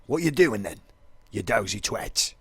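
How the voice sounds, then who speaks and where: A Welsh-accented man putting on a rough London lad with a London accent, coarse and mocking. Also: on the low side, big pitch swings, unhurried, fairly bright tone, no echo, studio quality, heavily acted.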